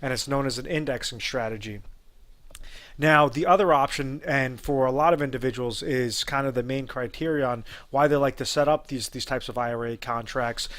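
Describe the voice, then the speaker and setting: A man's voice: mid-range voice, a bit bright. A man working as a retirement advisor who explains rollovers in an unscripted way, with frequent fillers and restarts. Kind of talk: explainer video talk.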